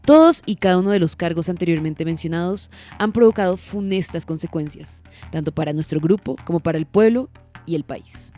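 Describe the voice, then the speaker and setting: An actress as a sanctimonious woman prosecutor in a radio drama. Her voice is low and dark, her delivery formal and declamatory, with music underneath. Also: expressive, a little fast, lightly acted. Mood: solemn, accusing. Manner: formal, declaiming, reading out charges and questions. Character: stern, sanctimonious female prosecutor at a sham trial. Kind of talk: audio drama courtroom acting.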